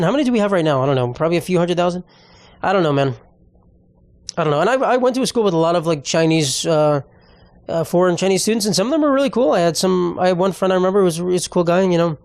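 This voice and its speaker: A man's voice: on the high side, a little mellow, no echo, studio quality. A man hosting a podcast alone, rambling and ranting in a casual, profane way. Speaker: podcast host. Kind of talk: casual solo podcast monologue.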